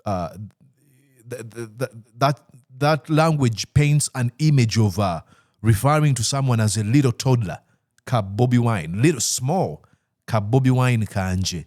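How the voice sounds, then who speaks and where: A man's voice: mid-range voice, lively intonation, unhurried, a little mellow, dry recording, clean studio sound. A man from Uganda hosting a political talk show, speaking in a discursive way with frequent "uh" fillers and easy laughter. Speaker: host.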